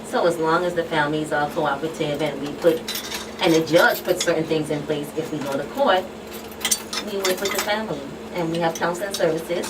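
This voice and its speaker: A woman with a low voice and a Caribbean accent explains things plainly and emphatically as an expert guest on talk radio. She sounds set back from the mic, with clear noise around her. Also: a little fast. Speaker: guest expert, child protection official. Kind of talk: live radio talk show discussion. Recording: distant mic, clearly noisy background.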